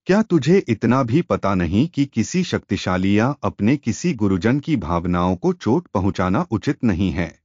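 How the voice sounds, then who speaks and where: A man voicing a haughty, flattering elder princess in a spoken moral tale. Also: fairly low pitch, a little slow, few pauses, breathy edge, dry recording, muffled recording, exaggerated voice. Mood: mocking, scolding. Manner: mocking, scolding.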